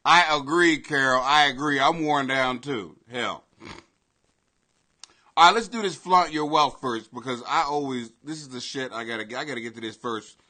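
Man, 40s, live webcam host, basement at home, bright ringing voice, crude loose riffing. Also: medium pitch, normal speed. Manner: loose, swearing, loud bursts and laughing asides. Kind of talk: casual profane live commentary. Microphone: webcam at desk.

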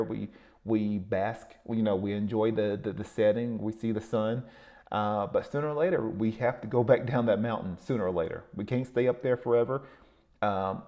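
A man's voice: lowish voice, darkish tone. A man hosting a podcast, giving a solo motivational talk in a rambling way, with many fillers and repeated words. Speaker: podcast host.

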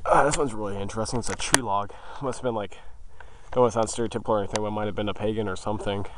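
A man's voice: lowish voice, fairly even intonation, very fast. A man giving casual vlog narration outdoors on a handheld camera in the wind. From United States. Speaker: vlogger exploring abandoned places. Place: outdoors in a windy graveyard. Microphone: handheld camera's built-in mic. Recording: noisy windy outdoor camera audio. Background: wind and outdoor noise.

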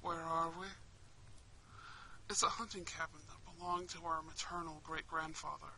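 A woman's voice: low voice, normal speed, slight background noise, voice pushed down, heavily acted. A woman voicing a kind, protective young prince in an intimate roleplay, tender and dramatic. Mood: scornful, assured. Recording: steady rain and fireplace ambience.

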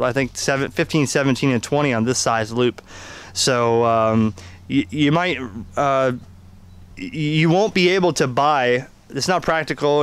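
Smooth-voiced man reviewing radio gear. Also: medium pitch, a bit bright. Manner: halting, explanatory, conversational. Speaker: ham radio hobbyist reviewing an antenna. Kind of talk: unscripted product demonstration and review.

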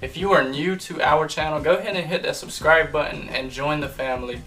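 A young man co-hosting a casual couple's vlog from a bedroom, chatting to viewers in a relaxed, laid-back way. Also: fairly high pitch, brisk, recorded from a distance. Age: young adult. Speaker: vlog co-host. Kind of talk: casual chat. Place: bedroom, indoors.

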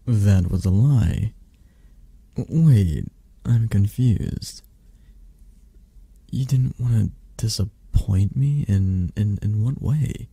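Very deep, mellow, tender dragon boyfriend played by a man. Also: creaky, slightly rough, no room sound, full character voice. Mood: gentle, reassuring. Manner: soft, teasing, reassuring, hesitant pauses. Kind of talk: intimate ASMR roleplay. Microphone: close, dry microphone.

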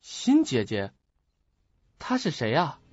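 An actor in an audio drama playing an earnest, puzzled young student who questions and muses to himself. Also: male voice, mid-range voice, huge pitch swings, takes it slowly, husky, no echo, soft background music, full character voice. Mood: puzzled, probing. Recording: muffled lo-fi audio.

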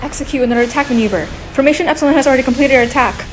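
An actress playing a brisk female starship officer giving military reports in a sci-fi audio drama. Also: high voice, over music, close to an everyday voice. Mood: brisk, focused.

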